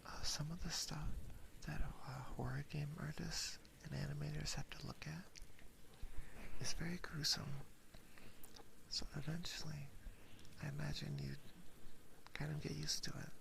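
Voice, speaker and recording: A woman whispers softly and playfully in a casual ASMR chat, very close to a binaural microphone, with a breathy, intimate delivery. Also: sits low, fairly even intonation, a little slow, long pauses, noisy background. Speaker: streamer and ASMR host. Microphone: close binaural ear microphone.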